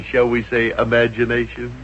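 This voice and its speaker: An actor playing an affable, amused businessman with measured, wry delivery in a 1950s radio comedy. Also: mid-range voice, completely flat delivery, fairly bright tone, close to an everyday voice. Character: amused, affable businessman boss hiring staff. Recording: dull, hissy old radio recording.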